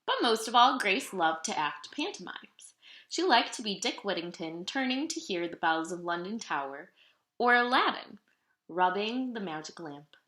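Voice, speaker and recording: A woman reading a children's picture book aloud in an expressive, dramatic storytelling manner. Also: mid-range voice, moderate pace. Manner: animated, dramatic storytelling delivery. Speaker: storybook reader. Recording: roomy, echoing room sound.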